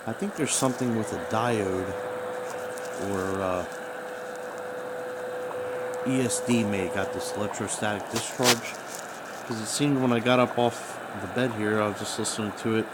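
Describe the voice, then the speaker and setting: A man talking casually and haltingly as he rambles through a hobbyist's gadget troubleshooting demonstration. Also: lowish voice. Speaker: radio hobbyist. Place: small room at home, indoors. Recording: noisy home recording with background hiss.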